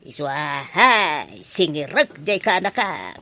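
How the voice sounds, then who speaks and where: Chatty, meddling Ilocano Filipino village woman played by a woman. Radio comedy, lively swings in pitch. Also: takes it slowly, heavily acted. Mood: gossipy. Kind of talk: comedic radio drama acting.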